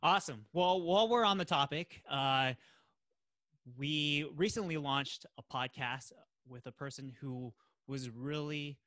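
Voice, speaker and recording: A man's voice: on the high side, unhurried, a bit bright, no room sound. A man hosting a podcast, talking casually and promotionally in an enthusiastic, emphatic manner. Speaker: podcast host.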